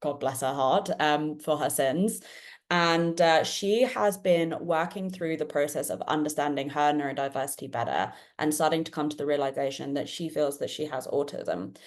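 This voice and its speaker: A woman hosting an online panel discussion, talking in an energetic, chatty and jokey way. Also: low-pitched, moderate pace. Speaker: panel host.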